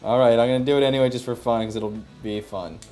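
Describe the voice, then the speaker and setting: A man's voice: mid-range voice, steady tone, fast talker, mellow, soft background music. A man in casual chat with his co-hosts, talking in a self-deprecating way. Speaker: show host.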